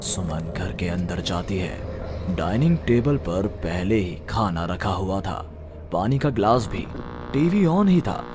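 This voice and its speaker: A man's voice: low-pitched, sing-song, slow, background noise. A man narrating a scripted horror story. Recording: music behind the voice.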